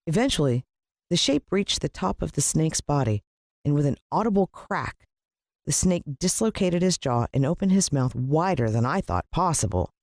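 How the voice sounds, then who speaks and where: A woman with an American accent giving a dramatic, expressive scripted narration of a children's story. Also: low-pitched, moderate pace, dry recording, clean studio sound. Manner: dramatic, playing a child's first-person point of view.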